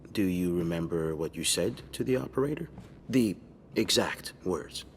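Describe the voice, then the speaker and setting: An actor playing a gruff, condescending police detective pressing a witness in a 1950s mystery game. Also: male voice, deep voice, lively intonation, normal speed, big-room sound, a little hiss or hum. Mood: probing.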